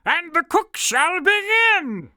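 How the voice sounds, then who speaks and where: A man voicing hearty medieval woodmen in a storybook, calling out loud and jolly. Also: extremely high pitch, big pitch swings, takes it slowly, no echo, studio quality, pitched above the performer's own voice, full character voice. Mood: jolly, rallying. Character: hearty, boisterous medieval woodman and kitchen men.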